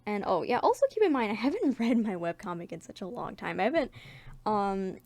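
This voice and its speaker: A woman's voice: medium pitch, expressive, brisk, darkish tone, no room sound. A woman chatting casually to viewers as a video creator, informal, giggly and self-deprecating. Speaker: webcomic artist and video creator.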